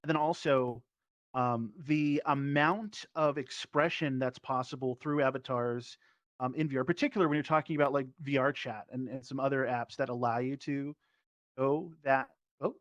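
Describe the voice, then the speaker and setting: A man's voice: mid-range voice, steady tone, normal speed, no echo. A man speaking casually and thoughtfully as an enthusiastic panelist over online voice chat. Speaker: panelist, performer. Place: virtual reality social app session.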